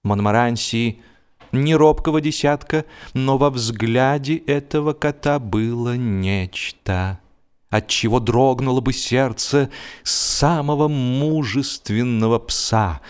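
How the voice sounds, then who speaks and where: A man narrating a humorous literary story for an audiobook in an expressive, measured, theatrical way. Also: mid-range voice, smooth.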